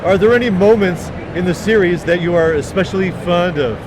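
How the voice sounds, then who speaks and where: A man doing a casual fan interview, halting, nervous and starstruck, as he puts questions to his guest. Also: high voice. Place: busy fan convention. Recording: noisy convention floor with crowd chatter.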